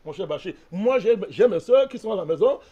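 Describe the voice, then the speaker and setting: A man's voice: high voice, expressive, fairly quick, warm tone, husky, highs cut off. A man from Cameroon, a panelist in a heated political debate, arguing insistently and keeps speaking to the host by name. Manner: insistent, argumentative, repeats a direct address to the host.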